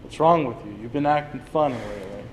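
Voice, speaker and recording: A man acting in a play reading as a steady, plain-spoken friend who coaxes and reassures, recorded in a noisy room. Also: medium pitch, fast, in a large hall, natural voice. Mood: concerned. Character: Frank, steady, reassuring male friend, no longer young. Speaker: drama student actor. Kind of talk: dramatic reading of a stage play.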